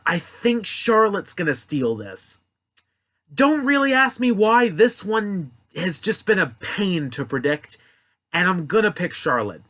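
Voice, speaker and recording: A man's voice: a little high, pushed and bright. A young man talking casually and rambling through his predictions, correcting himself as he goes. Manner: rambling, offhand, self-correcting. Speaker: wrestling prediction vlogger. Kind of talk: casual solo commentary.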